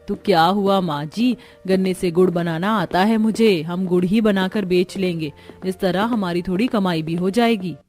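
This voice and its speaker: A woman's voice: fairly low pitch, normal speed, hardly any pauses, darkish tone, soft background music, lightly acted. A woman voicing earnest, caring women of a poor village family in a cartoon story. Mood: happy, hopeful. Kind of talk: Hindi cartoon story dialogue.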